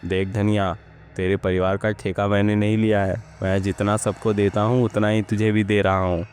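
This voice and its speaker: A man's voice: lowish voice, little pitch movement, normal speed, a little mellow, close to an everyday voice. A man voicing a cruel, bullying landlord in a Hindi-style village horror story, with a threatening, scornful manner. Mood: cold, threatening. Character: cruel, menacing landlord man, bullying his farm workers.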